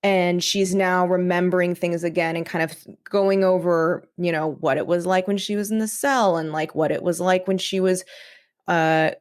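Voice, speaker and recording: A woman's voice: on the low side, fairly even intonation, moderate pace. A woman speaking as a podcast guest in a casual interview, informal and tentative in how she talks. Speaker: podcast guest, audio drama writer.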